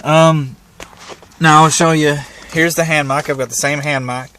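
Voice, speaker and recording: A man's voice: fairly high pitch, steady tone, measured pace, sharp tone, a little hiss or hum. A man giving a casual hands-on how-to demonstration, talking in a plain, folksy, informal way. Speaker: amateur how-to presenter. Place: in and around parked vehicles.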